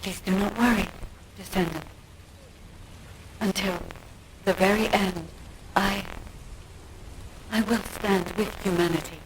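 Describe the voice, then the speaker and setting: An actress playing a solemn, loyal guide with a measured manner in a video game. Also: female voice, medium pitch, fairly even intonation, slight background noise, natural voice, poor audio. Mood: solemn, resolute.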